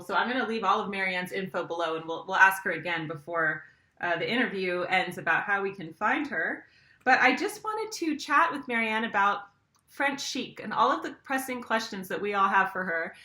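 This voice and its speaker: A woman's voice: medium pitch, sharp tone, echoey room. A woman hosting an interview over a video call, effusive and laughing often. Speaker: host.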